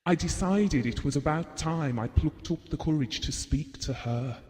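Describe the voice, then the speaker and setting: An actor playing a shy, devoted husband in an audio drama, speaking earnestly and nicely. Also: male voice, fairly high pitch, moderate pace, darkish tone, long reverb tail, lightly acted. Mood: fond, wistful. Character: shy, devoted, well-spoken husband reminiscing about his marriage.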